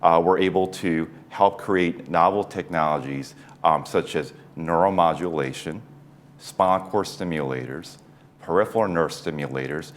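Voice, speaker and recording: A man in his forties, a neurosurgeon being interviewed, who speaks in a measured, thoughtful way with small restarts. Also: low-pitched. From South Korea. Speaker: neurosurgeon guest. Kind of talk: interview answers.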